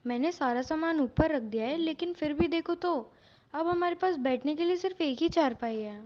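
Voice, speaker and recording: A woman's voice: sits high, moderate pace, lo-fi sound, natural voice. A woman voicing an earnest, helpful young daughter from a poor family in a cartoon story. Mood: distressed.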